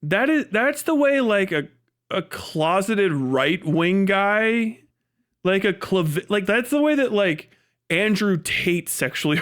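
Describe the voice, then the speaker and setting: A man casually chatting and reacting on a livestream, with halting, slangy, profane speech. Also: sits high, a bit bright. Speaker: livestream host.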